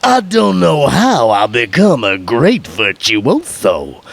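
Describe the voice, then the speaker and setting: An actor playing a conceited, boastful male satyr musician in a comic radio drama, with a pompous, creaky delivery. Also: mid-range voice, huge pitch swings, full character voice. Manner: pompous, drawn-out, bragging delivery.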